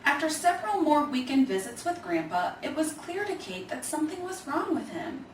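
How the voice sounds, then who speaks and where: High-voiced woman reading a storybook, echoey room. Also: sing-song, normal speed. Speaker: Alzheimer's organization staffer reading a children's book. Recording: echoey room, distant mic, faint noise.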